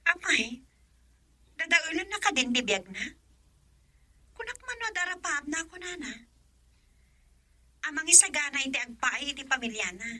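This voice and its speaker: Isang babaeng gumaganap bilang anak na dalagang nasasaktan sa pag-ibig, na may punto ng Ilocano, sa isang radio drama. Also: female voice, high voice, animated, normal speed, pauses often, full character voice. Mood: emotional. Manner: emotional, shifts from soft sighs to loud pleading. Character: young woman torn over love, daughter.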